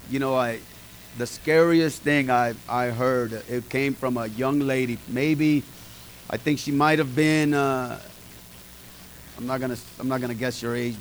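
A man's voice: medium pitch, poor audio. Middle-aged male pastor, folksy and emphatic, light hiss in the room. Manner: folksy, emphatic, talks to the congregation directly. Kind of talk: informal sermon to a small group.

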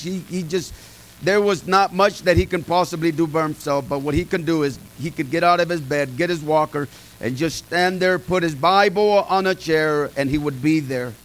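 A man's voice: fairly high pitch, poor audio. Middle-aged male pastor, folksy and emphatic, light hiss in the room. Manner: folksy, emphatic, talks to the congregation directly. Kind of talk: informal sermon to a small group.

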